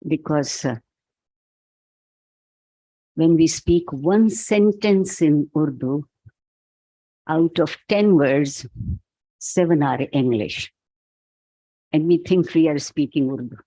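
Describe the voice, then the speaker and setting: A man with a Pakistani accent delivers a philosophical keynote at an unhurried, deliberate pace, pausing and restarting as he thinks aloud. His voice is soft and mellow. The sound is close and dry, with no room echo. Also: a little high. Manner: slow, measured, philosophical, halting. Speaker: keynote speaker. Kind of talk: reflective keynote talk. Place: event hall.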